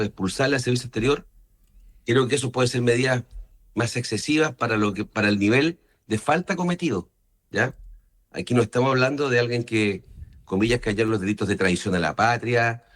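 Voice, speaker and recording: Chilean man explaining his political views. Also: lowish voice. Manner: punctuates points with short questioning tags. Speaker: senator being interviewed. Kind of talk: political interview, explaining opinions.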